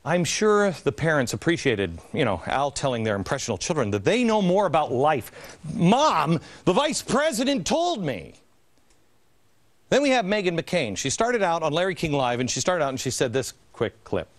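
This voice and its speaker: A man's voice: a little high, very animated, normal speed. A man in his forties hosting an opinion TV show in a large studio, talking in an indignant, sarcastic, theatrical way. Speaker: TV host. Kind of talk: opinion TV commentary. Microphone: studio mic, standing at camera.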